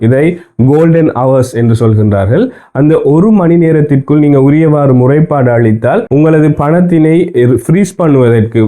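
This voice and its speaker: Sri lankan tamil guy reading an explainer report, really dark mellow voice, medium pitch, formal and methodical. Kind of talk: scripted news explainer narration.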